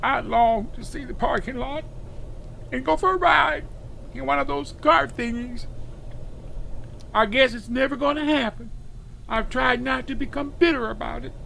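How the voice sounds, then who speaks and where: A man's voice: very high-pitched, moderate pace, vocal fry, pauses often, pushed and bright, slight background noise, full character voice, rough recording. A man playing a wistful, huggable stuffed bear come to life in an audio drama, folksy and childlike. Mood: plaintive longing. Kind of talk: cartoonish audio drama acting.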